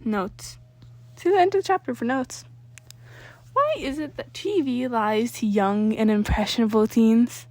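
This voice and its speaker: A woman narrating fan fiction as an amateur reader, expressive and informal, sometimes stumbling and rereading words. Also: a little high, a little slow.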